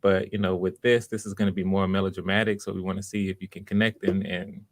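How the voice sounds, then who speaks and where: A man hosting a live online audition stream in casual, halting, unscripted chat, welcoming and encouraging to his viewers. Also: deep voice, little pitch movement, no room sound. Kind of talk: casual livestream chat, greeting viewers by name.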